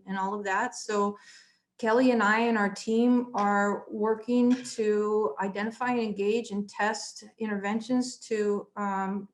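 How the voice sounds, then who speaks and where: A woman professor introducing her work on an online panel, speaking earnestly and steadily with occasional ums. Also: mid-range voice.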